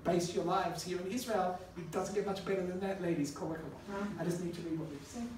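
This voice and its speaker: A middle-aged man teaches a class in a relaxed, storytelling way, turning now and then to speak directly to his students. He is recorded from across the room, so his voice bounces around a very echoey classroom with a faint hiss underneath. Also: high voice, fairly quick, far from the mic. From Australia. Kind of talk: conversational classroom lecture. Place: classroom with a live audience.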